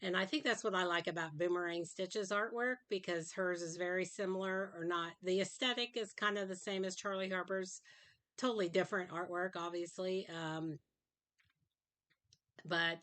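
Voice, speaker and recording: A woman's voice: lowish voice, fairly even intonation, moderate pace, a bit bright. A middle-aged woman chatting casually in her home craft room, rambling with frequent ums and uhs, her voice dry after a sinus infection. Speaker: craft vlogger. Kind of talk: casual show-and-tell chat.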